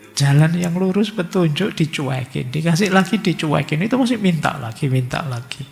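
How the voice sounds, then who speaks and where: A man's voice: a little high, vocal fry, long reverb tail. A man giving a chatty religious lecture, slipping in words and acting out people's worried thoughts.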